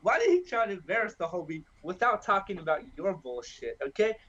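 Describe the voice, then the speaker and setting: A man with a high, bouncy voice that swings all over, crude and joking as he spins a wild story. His tone is breathy and husky. It comes through a dull, lo-fi mic. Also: very animated, a little fast, fairly bright tone. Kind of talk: rowdy casual chat.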